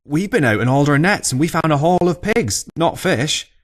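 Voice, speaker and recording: An old Cornish fisherman, as a male storyteller puts him on, spinning a tall tale in broad dialect. His voice is raised high and swoops about with exclamations, like a pub yarn-spinner in full flow. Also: animated, normal speed, lightly acted. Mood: exclamatory, indignant.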